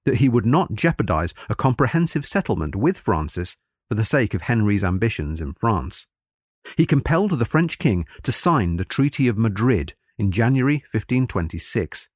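A man delivering a scripted history lecture in formal, measured, scholarly phrasing. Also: medium pitch, no room sound, clean studio sound.

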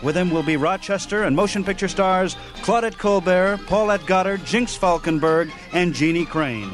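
Higher-pitched male radio host over music. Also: moderate pace. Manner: announcer-like, formal. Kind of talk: scripted narration.

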